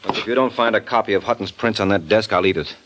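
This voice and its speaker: An actor playing a wisecracking private detective in a 1940s radio drama, wry and dry. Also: male voice, on the low side, fast, noisy background, natural voice. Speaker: lead detective.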